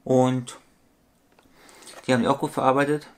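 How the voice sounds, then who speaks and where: Thirties man, at-home webcam vlogger, rambling and repetitive, average pitch. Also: level tone, normal speed, a little mellow. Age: 30s. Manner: rambling, repetitive, corrects his own sums aloud. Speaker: toy collector vlogger. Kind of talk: casual vlog monologue. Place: small room at home with shelves.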